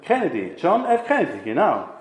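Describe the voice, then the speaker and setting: A man's voice: fairly high pitch, wildly swooping pitch, fairly quick. A man preaching a conversational sermon, putting questions to the congregation. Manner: chatty, asks the congregation questions. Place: church hall. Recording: echoey church hall.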